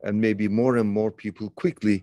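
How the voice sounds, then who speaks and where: A man from Turkey hosting a conversation over a video call, talking slowly with many fillers and restarts. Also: fairly low pitch, fairly even intonation, warm tone, no room sound, clean studio sound. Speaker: interview host. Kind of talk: casual conversation.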